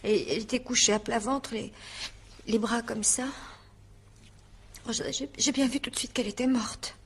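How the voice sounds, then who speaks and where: A young housemaid of eighteen in a radio mystery drama. Her voice sits fairly high with a very husky, breathy grain. She is flustered and naive, stumbling over words and rambling on with colloquial emphasis. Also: fairly even intonation, moderate pace. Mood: agitated, earnest. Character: flustered, naive, chatty eighteen-year-old housemaid giving testimony.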